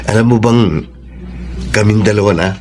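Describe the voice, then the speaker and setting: A man's voice: fairly low pitch, a little slow, a little mellow, close to an everyday voice. A Filipino man playing a smitten, trusting overseas worker in radio drama dialogue, cheerful and confident. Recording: faint background ambience noise.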